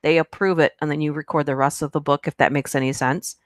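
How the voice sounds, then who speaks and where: A woman's voice: low voice, fairly even intonation, fast, no echo, studio quality. A woman hosting a live stream, chatting casually with viewers, reading their comments and rambling with lots of fillers and giggles. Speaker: horror narration channel host.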